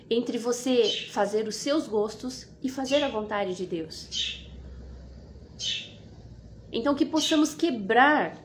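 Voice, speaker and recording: High-pitched Brazilian woman preaching informally. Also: slight background noise. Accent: Brazilian Portuguese. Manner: earnest, exhorting, repeats phrases for emphasis. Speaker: devotional host and preacher. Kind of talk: informal devotional sermon.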